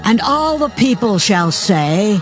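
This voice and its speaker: A man's voice: sits high, very animated, takes it slowly. A man narrating dramatized scripture in a solemn, declamatory way, with music behind.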